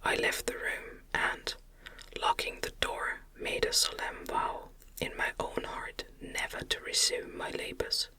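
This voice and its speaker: A narrator reading a classic novel in a soft, breathy ASMR whisper, with a soothing and deliberate cadence. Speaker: audiobook narrator.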